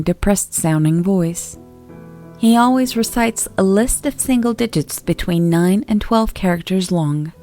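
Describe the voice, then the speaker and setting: A woman reading a scripted creepypasta as narrator, in a measured, expository read-aloud delivery. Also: mid-range voice, darkish tone. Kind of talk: scripted horror story narration. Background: background music.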